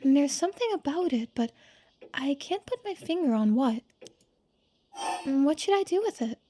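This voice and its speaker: Casting: female performer for a thoughtful young game character, soft-spoken and polite, high pitch, gentle dark-toned delivery. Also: expressive, moderate pace. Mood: quiet, reflective. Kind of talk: voiced game dialogue and narration.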